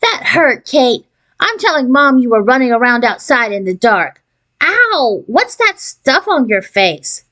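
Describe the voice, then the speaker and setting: Woman putting on a squeaky, whiny little sister. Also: very animated, measured pace, bright, forceful tone, exaggerated voice. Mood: whiny, indignant. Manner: whining, complaining.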